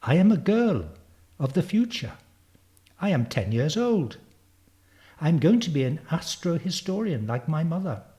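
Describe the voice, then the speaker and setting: A precocious ten-year-old girl from the future, as a male reader plays her, pitched high and lilting with animated swoops. She is confident, brisk and matter-of-fact, like a storybook prodigy. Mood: proud, eager. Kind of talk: storybook character reading.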